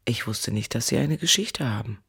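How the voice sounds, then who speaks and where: An actress playing a weary, embittered woman who survived a disaster, recounting it in a radio drama monologue with a husky voice. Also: extremely low pitch, animated, normal speed, fairly bright tone, exaggerated voice. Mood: anguished. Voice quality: husky, gravelly.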